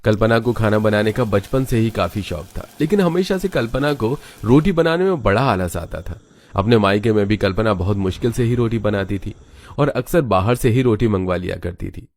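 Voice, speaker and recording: Male story narrator for a cartoon tale, low register, scripted delivery. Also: moderate pace, a little mellow, no room sound.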